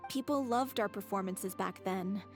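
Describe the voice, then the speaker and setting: A woman voicing a sincere young actress in a theater troupe in a video game, gentle and wistful. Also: mid-range voice, moderate pace, close to an everyday voice. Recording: game soundtrack music behind.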